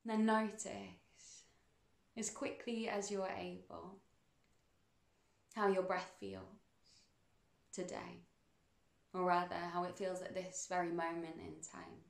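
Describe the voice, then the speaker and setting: A woman guiding a meditation in a soothing, unhurried manner, with measured phrases and pauses between them. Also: on the low side, level tone, creaky, echoey room. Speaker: meditation guide. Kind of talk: guided meditation narration.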